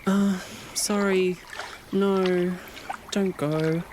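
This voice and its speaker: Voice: medium pitch, steady tone, very slow, background noise. An actor playing an awkward, wounded, defensive young male swan in a comedic audio drama. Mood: awkward, uneasy.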